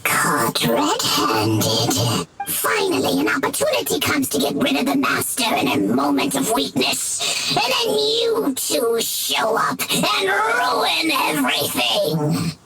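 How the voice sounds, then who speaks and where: Sneering villain mage with wildly swooping pitch. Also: female voice, huge pitch swings, loud music behind the voice, heavily acted, rough recording. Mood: gloating, frustrated. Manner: gloating, theatrical, drawn-out. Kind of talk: video game villain acting.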